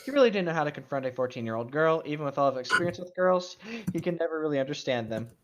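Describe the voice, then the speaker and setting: A man's voice: on the high side, expressive, very fast, background noise. A man co-hosting a casual fan fiction read-aloud, slipping between mock dramatic reading and sarcastic, slangy asides.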